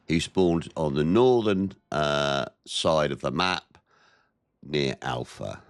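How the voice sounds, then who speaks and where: A man with a head cold, sounding nasal and snotty, giving steady play-by-play commentary over a naval battle game. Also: extremely low pitch, no echo, studio quality. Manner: measured, plain-spoken play-by-play. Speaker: gameplay commentator.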